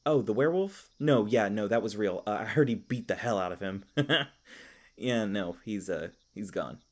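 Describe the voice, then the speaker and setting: A man's voice: lowish voice, lively intonation, moderate pace. A man playing a kindly, flustered, youthful elf park ranger in a fantasy audio roleplay, stammering and rambling apologetically. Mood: flustered, amused. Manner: rambling, stammering, apologetic, self-deprecating humor. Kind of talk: fantasy audio roleplay, casual conversational acting.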